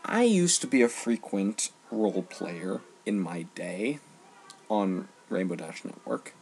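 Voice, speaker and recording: A man delivering an informal opinion rant, emphatic and halting, often repeating phrases for stress. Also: on the low side, very animated, creaky, darkish tone, a little hiss or hum. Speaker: online ranter.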